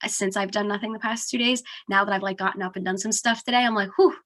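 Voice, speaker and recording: A woman's voice: medium pitch, fairly even intonation, fast, a bit bright. Chatty congested young woman. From New Jersey. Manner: chatty storytelling, lots of "like". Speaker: podcast co-host. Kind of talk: casual chat.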